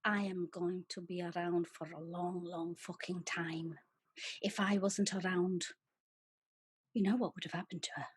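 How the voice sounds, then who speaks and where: A woman's voice: lowish voice, level tone, a little slow, breathy edge, dry recording, clean studio sound. A woman playing a coarse, furious female alter who swears and rants in a solo stage monologue. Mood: low menacing. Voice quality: swearing, brash. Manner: ranting, mocking.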